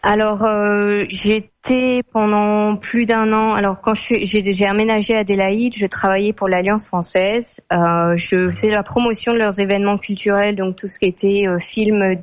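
A young woman from France chats as a guest in an easy, unhurried way. She pauses often and fills the gaps while she thinks, and her voice sits at a middle pitch. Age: young adult. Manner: relaxed, many hesitations. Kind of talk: casual conversation.

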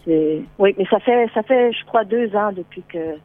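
A woman's voice: mid-range voice, normal speed, dry recording. A woman from Quebec, calling into a show and chatting in a hesitant way, full of fillers and restarts. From Quebec, Canada. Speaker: caller. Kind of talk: casual phone chat. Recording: tinny phone line.

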